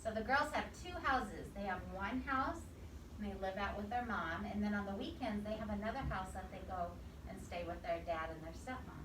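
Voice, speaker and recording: A woman reading a storybook aloud to young children, expressive, pausing to ask simple questions and repeat answers. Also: mid-range voice. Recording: echoey, distant mic, clear background noise.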